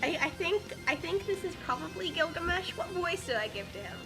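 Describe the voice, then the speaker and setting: A woman's voice: very high-pitched, animated, fairly quick, pushed and bright. A woman co-hosting a dramatic fanfic reading with casual chat, theatrical when she reads. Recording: music behind the voice. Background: music.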